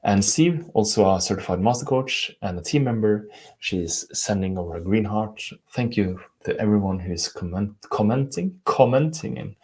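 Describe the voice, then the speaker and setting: Soft-spoken Norwegian man, husky. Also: lowish voice, lively intonation, normal speed. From Norway. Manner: earnest, gentle, reads out viewer comments. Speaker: coach hosting a live stream. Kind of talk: live-stream teaching and chat.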